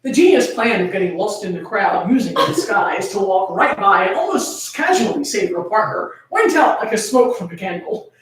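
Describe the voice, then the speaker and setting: A woman's voice: medium pitch, animated, normal speed. A woman giving a theatrical, amused comic reading of fan fiction aloud. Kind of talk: dramatic comic reading aloud, often breaking into laughter. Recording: roomy echoing sound, distant from microphone.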